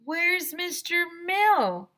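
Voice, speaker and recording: A woman's voice: extremely high pitch, big pitch swings, very slow, bright, forceful tone, voice pushed up, full character voice. A woman voicing an impatient schoolchild in a storybook, pleading and insistent. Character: impatient schoolchild craving ice cream.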